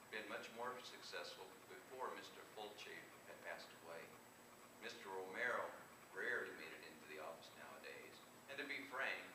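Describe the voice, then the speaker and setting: A man reading his humorous fiction aloud at a live event, sometimes stumbling over and repeating words. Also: sits low. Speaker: author reading own short story. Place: convention room, live reading. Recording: echoey distant live room recording, noisy.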